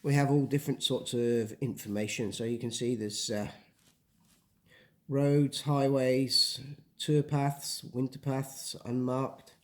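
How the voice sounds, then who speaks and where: A man's voice: medium pitch, steady tone, slow pace. A man from England, patiently explaining map and compass basics step by step as a hiking guide. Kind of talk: casual instructional explanation.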